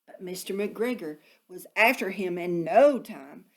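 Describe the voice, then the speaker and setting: A woman narrating a children's storybook expressively, with deliberate phrasing suited to young listeners. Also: lowish voice.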